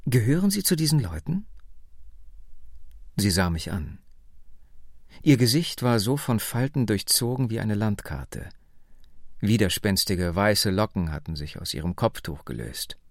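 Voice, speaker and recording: A man narrates literary fiction in the first person. He takes his time and shapes sentences with animated melody, deliberate and expressive, with a creaky grain in his voice. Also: on the low side, dry recording, studio quality. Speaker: audiobook narrator.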